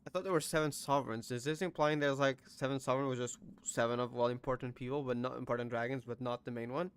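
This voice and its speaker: A man's voice: mid-range voice, fairly even intonation, fast, clear, resonant tone. A man reacting to a game story, musing aloud and asking himself questions about it. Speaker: game streamer. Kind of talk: casual game reaction commentary.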